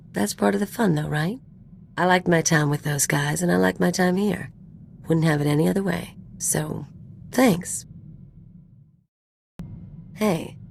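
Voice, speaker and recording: A woman's voice: fairly low pitch, vocal fry, slight background noise. A woman playing a brash, sardonic gangster sidekick in a video game, talking in cocky, casual slang. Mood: wry, cocky. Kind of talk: video game companion dialogue acting.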